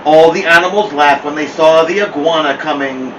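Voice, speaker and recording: A man with a high voice reads aloud to children in an expressive, playful way. He drops in chatty asides to his listeners. The mic sounds distant and there is clear noise behind him. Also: moderate pace. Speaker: host of a children's story show. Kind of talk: animated read-aloud storytelling with chatty asides.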